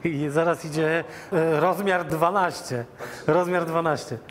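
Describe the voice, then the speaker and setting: A man's voice: fairly high pitch, fairly even intonation, unhurried, background noise. A man hosting in casual, jokey chat, talking informally with slang as he gets guests set up. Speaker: host fitting guests with lapel microphones. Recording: loud music over the voice.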